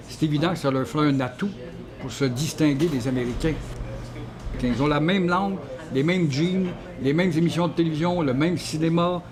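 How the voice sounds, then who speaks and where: A man speaking passionately and rhetorically in a documentary interview. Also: fairly high pitch.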